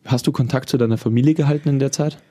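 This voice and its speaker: A man's voice: mid-range voice, fairly even intonation, very fast, warm tone, no echo. A man asking interview questions plainly and directly.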